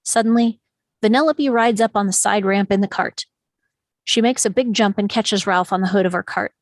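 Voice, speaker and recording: A woman's voice: mid-range voice, moderate pace, no echo. Woman narrating action, dry close sound. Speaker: stage directions narrator. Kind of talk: scripted narration at a table read.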